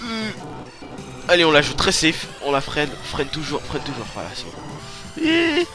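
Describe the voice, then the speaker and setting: A man with a stuffy cold giving excitable, swearing commentary over his own racing gameplay. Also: on the high side, moderate pace. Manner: excitable, swearing, yelps and laughs while playing. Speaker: let's play host. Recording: game music over voice. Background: loud game music.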